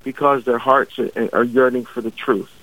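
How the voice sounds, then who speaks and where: A man's voice: medium pitch, little pitch movement, normal speed, fairly bright tone, no echo. A man in his fifties, a deacon from Barbados, talking about his faith and calling in a radio interview over the phone, restarting phrases as he goes. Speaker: deacon guest. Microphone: phone line. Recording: muffled phone-line interview audio.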